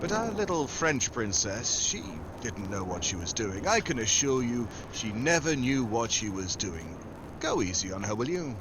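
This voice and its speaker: A man's voice: medium pitch, very animated, normal speed, background noise, natural voice. A man playing an unflappable, wisecracking American secret agent with an American accent, wry and nonchalant, in 1940s radio drama style. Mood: calm, persuasive.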